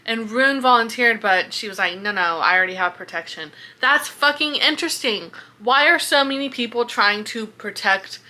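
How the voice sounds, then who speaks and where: Bright, high-voiced woman chatting casually. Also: normal speed, few pauses, a little hiss or hum. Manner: expressive, sweary, informal. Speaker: book reviewer vlogger. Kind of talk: casual reaction chat to camera.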